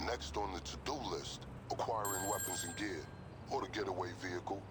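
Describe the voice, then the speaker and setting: An actor playing a calm, calculating undercover operative, giving measured, formal heist briefings in a video game cutscene. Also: male voice, sits low, very noisy, lightly acted. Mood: businesslike, composed. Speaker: heist planner. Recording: loud music behind.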